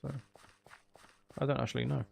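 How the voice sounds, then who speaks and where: A man talks casually while live-streaming a game, with a creaky, fry-edged voice at a medium pitch. He rambles and thinks aloud, often hesitant. There is slight noise and clicking behind him. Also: level tone, moderate pace, a little mellow.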